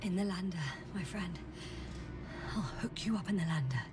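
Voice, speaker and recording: An actress playing a determined woman scientist astronaut in a video game, speaking naturalistically in a halting, breathy murmur, often as if to herself. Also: fairly low pitch, lively intonation, music in the background, lightly acted. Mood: concerned, resolute.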